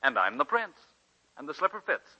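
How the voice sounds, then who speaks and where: A playful young romantic charmer in a vintage radio play of the 1940s. His voice is raised and lively, swooping with mock gallantry, on a dull old recording. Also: on the high side, a little fast, heavily acted. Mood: teasing, gallant. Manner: theatrical, teasing, mock-gallant. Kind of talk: 1940s radio drama acting.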